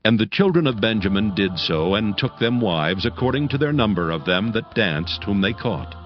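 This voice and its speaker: A man narrating scripture in a solemn, measured manner for a dramatized Bible reading. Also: fairly low pitch, sing-song. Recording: dull lo-fi audio under music.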